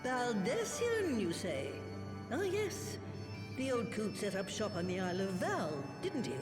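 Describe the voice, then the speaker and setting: Crotchety old sorceress, swooping delivery. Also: female voice, on the high side, big pitch swings, unhurried. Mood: musing. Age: older. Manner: brusque, sardonic. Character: crotchety, sharp-tongued old sorceress, a master of magic. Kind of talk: scripted fantasy game acting. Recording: roomy echo, slightly distant, loud music behind.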